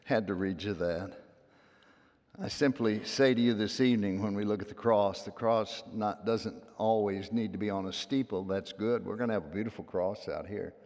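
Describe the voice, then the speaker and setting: A pastor preaching a sermon in a folksy, storytelling way, his loud voice dropping to hushed asides and then rising to emphatic peaks. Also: on the low side. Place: church sanctuary. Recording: long hall reverb.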